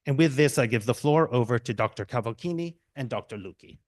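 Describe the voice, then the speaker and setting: A man's voice: medium pitch, animated, darkish tone. Man reading a formal introduction. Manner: measured, formal delivery. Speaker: event host. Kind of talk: formal introduction read aloud.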